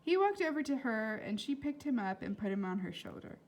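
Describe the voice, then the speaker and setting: A woman reads a story aloud in an expressive, fairly high voice. Her pitch moves widely as she adds dramatic emphasis, and she speaks casually when addressing her listeners. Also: fairly quick, a little hiss or hum, poor audio. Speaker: read-aloud reader.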